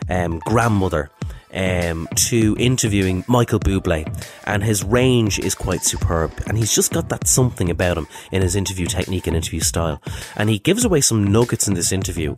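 A man's voice: sits low, lively intonation, brisk, few pauses, over music. An Irish man hosting an interview show, sounding a little snuffly from a cold and chatting with plenty of ums. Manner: chatty, warm praise with many ums and uhs. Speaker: podcast host and interviewer. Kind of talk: casual scripted intro and interview.

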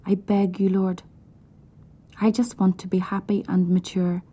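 A woman softly whispering a prayer, hushed and pleading. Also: mid-range voice, slightly rough. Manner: hushed, pleading, slow and even.

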